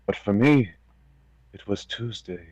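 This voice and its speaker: A man's voice: lowish voice, a little slow, darkish tone, slightly rough, no room sound, lo-fi sound. A man chatting casually as a podcast guest, slangy and full of opinions. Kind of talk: casual chat.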